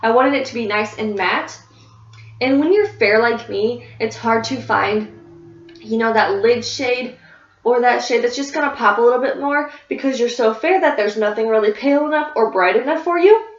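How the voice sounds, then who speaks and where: A woman's voice: a little high, normal speed. A woman talking casually about her favorite beauty products as a vlogger, a little congested and sniffly, rambling with fillers and restarts. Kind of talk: casual product review chat. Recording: echoey room, dull lo-fi sound.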